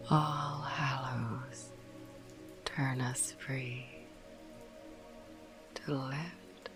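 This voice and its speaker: A woman narrating a bedtime ASMR podcast in a hushed, breathy whisper, soothing and unhurried. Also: rumbling low. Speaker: host of a sleep podcast.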